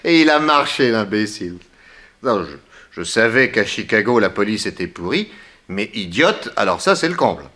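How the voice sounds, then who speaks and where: An actor in an early 1960s French radio play, voicing a sardonic, sceptical, brisk male detective. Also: on the low side, big pitch swings, sharp tone.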